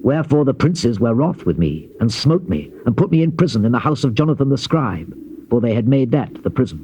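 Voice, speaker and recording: An actor playing a grave Old Testament prophet in a dramatized scripture reading, with solemn, declamatory and measured phrasing. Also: male voice, mid-range voice, sing-song, warm tone, lightly acted. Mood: solemn narration. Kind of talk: dramatized scripture reading, classical stage delivery. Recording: dull lo-fi old cassette, faint hiss.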